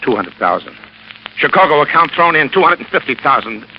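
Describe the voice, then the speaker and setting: A man's voice: fairly high pitch, very animated, a little fast. An actor playing a crooked, wheedling American gangster with slangy tough-guy talk in a 1940s radio drama. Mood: wheedling, nervous. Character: crooked, wheedling gangster villain, cocky then desperate. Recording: muffled, noisy old radio recording.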